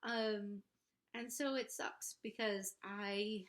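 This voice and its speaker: A young woman vlogger speaks slowly at a middling pitch, sounding congested. Her delivery is casual and confessional, with a candid, halting and somewhat self-conscious way of getting her thoughts out. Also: steady tone, fairly bright tone. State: congested, pregnant. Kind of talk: casual confessional talk to camera.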